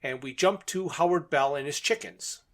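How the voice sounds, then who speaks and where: Higher-voiced man recapping a story. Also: normal speed, a bit bright. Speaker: podcast host recapping a radio drama. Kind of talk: episode recap in conversation.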